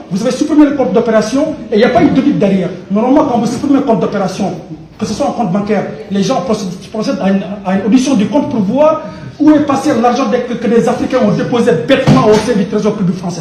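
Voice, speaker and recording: A man with a high yet mellow voice makes his point from the floor of a debate, lecturing and insistent, tripping over and repeating his words. He is far from the microphone in a reverberant conference hall, with faint noise behind him. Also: quick pace. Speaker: pan-African activist. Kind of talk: impassioned audience remarks in a debate.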